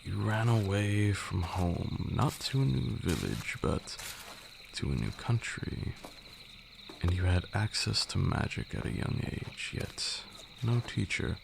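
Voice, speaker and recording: A man playing an ancient, haughty vampire lord in an ASMR roleplay, a condescending mentor with formal, archaic speech and a gravelly voice. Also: slow pace, heavily acted. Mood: knowing, teasing. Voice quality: very deep, gravelly. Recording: steady noise with night insects. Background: crickets and night insect ambience.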